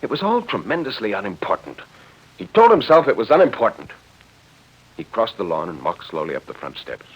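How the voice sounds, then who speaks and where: A man narrating a dramatic story in 1940s radio style, with a literary, expressive delivery. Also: lowish voice, fairly quick, husky. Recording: dull vintage 1940s radio recording.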